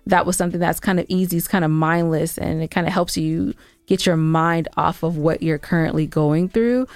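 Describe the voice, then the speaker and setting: A woman with a fairly low voice and noticeable vocal fry, chatting casually as a podcast co-host. She is playfully argumentative and insistent, and the sound is close and dry. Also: steady tone, normal speed, no room sound. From Georgia, United States. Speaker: podcast co-host and audio drama producer. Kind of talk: casual banter and show updates.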